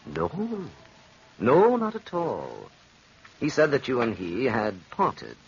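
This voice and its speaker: A man acting a smooth, calculating, ambitious gambler in an old-time radio drama, with a suave, theatrical delivery. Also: medium pitch, huge pitch swings, takes it slowly, heavily acted. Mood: smooth, coaxing. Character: smooth, scheming gambler and ambitious male schemer. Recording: dull, noisy old radio recording.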